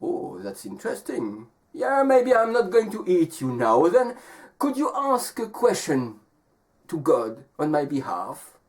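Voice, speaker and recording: Storyteller doing a hungry folk tale wolf, pleading, drags words out, really animated. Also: mid-range voice, measured pace. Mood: musing. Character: hungry male wolf, plaintive but talkative.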